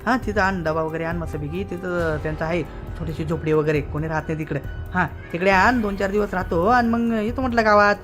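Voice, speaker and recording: A man's voice: high-pitched, steady tone, fast talker, a bit bright. A man voicing a shifty, cocky village fugitive in a comedy cartoon, repeating himself. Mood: cajoling. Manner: cajoling, boastful, repeats himself. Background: faint music.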